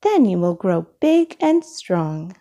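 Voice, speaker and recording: Woman as a doting, mellow rabbit mother. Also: fairly low pitch, very animated, takes it slowly, dull audio. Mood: coaxing.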